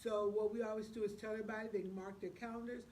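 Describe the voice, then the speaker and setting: A woman's voice: medium pitch, steady tone, racing, a little mellow. A woman speaking casually as an interview guest, promoting a production as one of its organizers. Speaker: stage play producer, guest. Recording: faintly noisy room recording.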